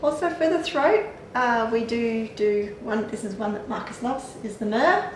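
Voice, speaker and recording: A woman's voice: a little high, moderate pace, slight background noise. A woman explaining vitamins and herbs in a casual chat, with lots of fillers and small laughs. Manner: chatty, explanatory, with frequent fillers and light laughs. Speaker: clinic staff giving supplement advice. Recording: echoey room, mic at a distance.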